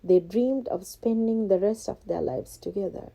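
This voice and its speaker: A woman reading a scripted children's storybook as narrator, expressive and carefully phrased. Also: medium pitch, moderate pace, gravelly.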